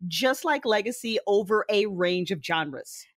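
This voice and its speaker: A woman's voice: mid-range voice, animated, unhurried. A woman speaking as a podcast creator and host, giving announcements to listeners in a partly scripted, partly casual style.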